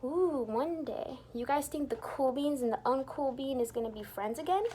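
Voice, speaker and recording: A woman reading a picture book aloud to young children in an expressive, teacherly way, pausing to explain things and put questions to the listeners. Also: high voice, a little hiss or hum. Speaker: children's storybook reader and teacher. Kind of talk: animated read-aloud for young children with asides explaining words.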